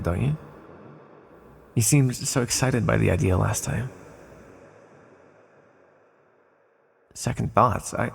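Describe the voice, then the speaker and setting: An ancient male villain acted by a man for an ASMR roleplay, with a heavily creaky, gravelly voice at a middling pitch. He is courteous and gently persuasive, halting as he pleads, and always keeps something back. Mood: tender, coaxing, then hurt, dismayed.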